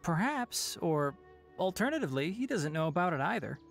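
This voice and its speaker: Young male forest ranger w fox ears, video game, lowish voice, polite, measured but expressive. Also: gravelly, soft background music. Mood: matter-of-fact.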